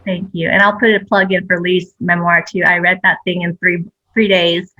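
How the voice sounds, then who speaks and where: A woman's voice: fairly low pitch, level tone, moderate pace, sharp tone, dry recording, rough recording. A woman speaking casually as a guest novelist in a panel conversation. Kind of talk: casual chat.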